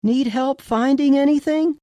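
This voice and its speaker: A man's voice: very high voice, unhurried, mellow, no echo, studio quality, pitched above the performer's own voice. A man doing a young information-desk woman. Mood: polite, helpful. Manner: polite, helpful, apologetic.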